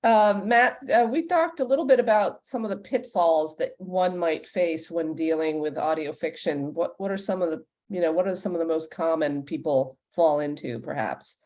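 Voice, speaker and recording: A woman's voice: lowish voice, normal speed, few pauses, darkish tone. A woman moderating a panel in a conversational way, thinking aloud and rephrasing her questions. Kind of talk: conversational interviewing.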